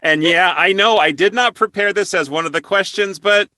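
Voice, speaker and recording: Bright-voiced male interview host, effusive. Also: sits high, normal speed, no room sound. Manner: effusive, enthusiastic. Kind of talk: casual interview chat.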